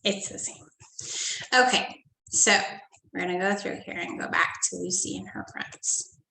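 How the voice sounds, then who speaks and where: A woman teacher reading a storybook aloud to first graders in a sing-song, animated way, often dropping to soft whispered asides. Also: lowish voice, a little slow, vocal fry, slight background noise. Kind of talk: storybook read-aloud lesson for young children. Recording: echoey room.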